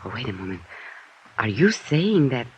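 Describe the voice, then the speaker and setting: Husky, pleading mystery woman. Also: extremely low pitch, big pitch swings, moderate pace, darkish tone, full character voice. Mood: pleading, earnest. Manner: hesitant, protesting, melodramatic. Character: earnest, pleading European woman of mystery under suspicion. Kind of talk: old-time radio drama acting. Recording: dull, band-limited old radio recording.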